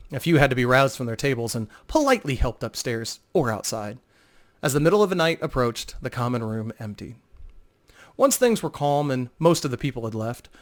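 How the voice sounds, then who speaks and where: Animated male fantasy storyteller. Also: mid-range voice. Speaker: narrator. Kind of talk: dramatic scripted narration of fantasy tale.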